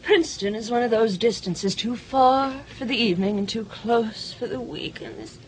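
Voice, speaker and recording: An actress playing a fretful, catty older society wife in an audio drama, fussy, complaining and gossipy. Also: female voice, fairly high pitch, normal speed, natural voice, rough recording. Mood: frail, complaining. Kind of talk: audio theater acting.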